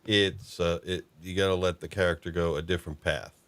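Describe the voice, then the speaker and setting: A man with a notably low voice talks as a host in relaxed conversation. His delivery is halting, often doubling back in the middle of a thought. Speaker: podcast host. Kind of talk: casual chat about fan fiction and films.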